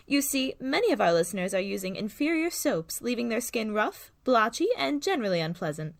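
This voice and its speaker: A woman's voice: on the high side, big pitch swings, a little fast, vocal fry, dry recording. A woman playing a polished, cheerfully blunt saleswoman reading an old-time radio commercial in a presentational, salesy way. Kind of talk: parody 1940s radio commercial.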